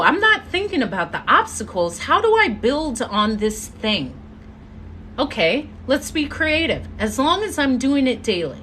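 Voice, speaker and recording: A woman giving an earnest motivational talk as a live stream, telling her story with drawn-out pauses. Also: a little high, a bit bright, slight background noise. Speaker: motivational speaker. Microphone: phone or webcam mic.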